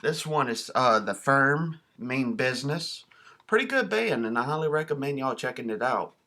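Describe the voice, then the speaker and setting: Casual male vlog host, bright sharp tone, folksy and enthusiastic. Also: medium pitch, lively intonation, moderate pace, slight background noise. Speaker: video host showing a cassette collection. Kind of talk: casual show-and-tell vlog.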